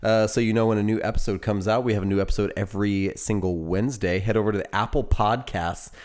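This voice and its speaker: A man's voice: lowish voice, fairly even intonation, quick pace, darkish tone. American man co-hosting a podcast. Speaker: podcast co-host. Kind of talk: casual banter and chat.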